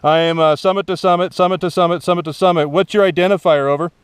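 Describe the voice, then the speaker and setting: Man, fairly high voice, ham radio operator, phonetic call signs, outdoor recording, light noise. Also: fairly even intonation, fast talker. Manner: repeats letters and numbers for clarity. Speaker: amateur radio operator making contacts from a summit. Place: outdoors on a rocky summit.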